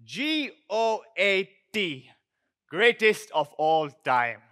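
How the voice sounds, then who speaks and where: Man acting a cocky, animated squash champion. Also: high voice, extremely slow, clean tone, heavily acted. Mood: boastful. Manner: declamatory, cocky, emphatic. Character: boastful, tenacious male squash champion from Pakistan. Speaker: actor in a stage show about squash. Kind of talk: theatrical stage performance.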